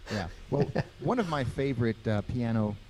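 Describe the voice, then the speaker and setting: A man who plays piano chatting in a friendly, relaxed way on a video call and introducing his song. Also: mid-range voice, normal speed, slight background noise. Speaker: pianist guest. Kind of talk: casual chat.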